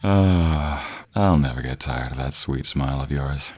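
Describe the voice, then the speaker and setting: A man's voice: extremely low pitch, a little slow, darkish tone. A young man playing a devoted, teasing boyfriend in a romantic audio roleplay, murmuring affectionately close to the microphone. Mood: adoring. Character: playful, devoted young boyfriend, a villager with a secret. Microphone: close binaural microphone.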